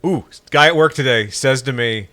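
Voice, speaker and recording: Bright-voiced man telling a wry story. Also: mid-range voice, moderate pace. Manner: storytelling, wry, laughs easily. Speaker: podcast co-host. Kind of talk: casual chat.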